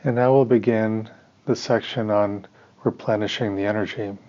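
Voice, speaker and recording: A man leading a guided meditation as a teacher, giving measured instructions in short phrases with pauses between them. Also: fairly low pitch, fairly even intonation, darkish tone, highs cut off.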